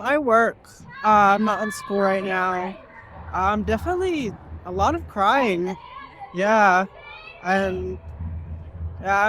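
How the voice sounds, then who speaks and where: A woman at an outdoor rally, answering hesitantly and softly with many pauses. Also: mid-range voice, slight background noise. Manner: hesitant, soft-spoken, many ums. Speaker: rally attendee. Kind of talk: street interview.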